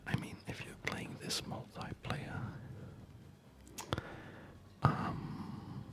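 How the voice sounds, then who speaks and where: A man doing relaxed, chatty ASMR game commentary in a soft, breathy whisper. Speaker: host of an ASMR gaming channel. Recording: background game music. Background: loud game music.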